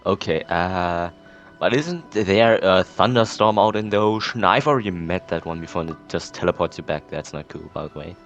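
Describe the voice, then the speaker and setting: A man's voice: low-pitched, normal speed, highs cut off, faint music behind. A man casually commenting on a game playthrough, wry and laughing, with blunt, profane asides. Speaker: gameplay commentator. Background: game audio.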